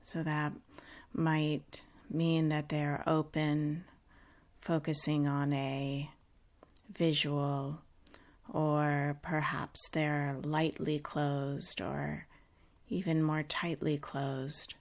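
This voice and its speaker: Female meditation guide, low register, very slow delivery, calm and unhurried, gentle instruction with generous pauses.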